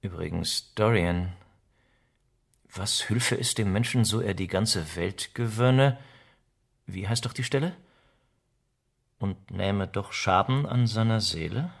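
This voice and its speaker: An actor in a German radio drama playing a worldly, cynical, middle-aged aristocrat with an urbane, ironic, theatrical delivery. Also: male voice, fairly low pitch, slow, creaky, long pauses, full character voice. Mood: probing, ironic. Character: worldly, cynical aristocratic older friend, witty and languid.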